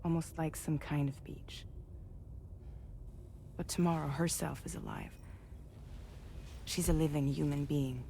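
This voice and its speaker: A woman playing a protective, compassionate and quietly firm team leader in a video game cutscene, measured and earnest. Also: sits low, fairly even intonation, creaky, slight background noise. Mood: concerned, earnest.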